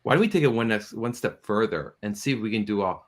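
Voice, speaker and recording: A man speaking as a producer on a panel, telling stories in an animated, jovial, casual way. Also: lowish voice, fast talker.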